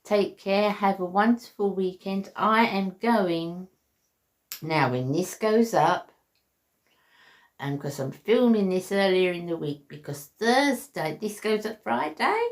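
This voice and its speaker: A woman's voice: medium pitch, animated, slow pace. A woman, chatting earnestly to her viewers, with lots of ums and restarts. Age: 60s. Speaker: beauty vlogger. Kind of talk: heartfelt casual vlog chat. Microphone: basic camera mic.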